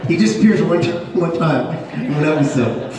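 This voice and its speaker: A man bantering on a convention panel, playful and animated, leading the conversation. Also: fairly high pitch, moderate pace, very noisy. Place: large convention hall. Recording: echoey hall from far off, loud crowd.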